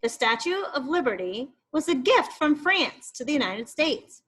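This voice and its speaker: A woman's voice: high-pitched, sing-song, normal speed, a bit bright. A woman voicing an informative female park ranger from a children's book, explaining things to a school class.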